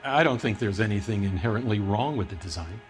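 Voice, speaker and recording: A man's voice: lowish voice, animated, a little fast, faint music behind, close to an everyday voice. A man playing a defensive, harried heater company boss in a video game, talking in a matter-of-fact way. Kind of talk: video game dramatic acting.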